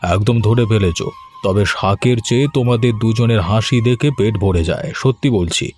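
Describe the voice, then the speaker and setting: A man playing a good-natured, flattering middle-aged village farmer in an animated story, folksy and wheedling. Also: lowish voice, moderate pace, soft background music, close to an everyday voice.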